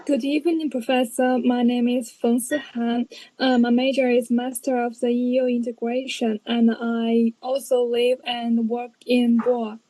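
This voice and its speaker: A woman's voice: on the high side, flat delivery, a little slow, mellow, dry recording, rough recording. A woman student politely introducing herself on a video call. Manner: polite, formal.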